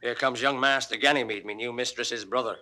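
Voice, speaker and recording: An actor playing a plain, honest country shepherd, earnest and rustic, in a classical Shakespearean audio drama. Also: male voice, medium pitch, steady tone, brisk, bright, forceful tone, slight background noise, natural voice. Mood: proud, content. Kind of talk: classical Shakespearean stage acting.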